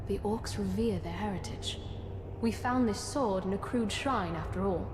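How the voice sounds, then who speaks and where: A woman playing a solemn, thoughtful chronicler of a besieged fantasy city in a video game, narrating in a measured, grave and reflective way. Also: mid-range voice, lightly acted. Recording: echoey hall reverb under music.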